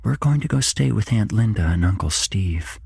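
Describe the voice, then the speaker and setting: A man voicing an upset, protective, pleading mother in a hushed horror story reading. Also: on the low side, animated, normal speed, vocal fry, slightly rough, no echo, studio quality, voice pushed up, heavily acted. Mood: urgent, gentle. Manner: pleading, indignant. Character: upset, protective mother, adult woman, pleading for her son. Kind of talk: whispered horror story character voice.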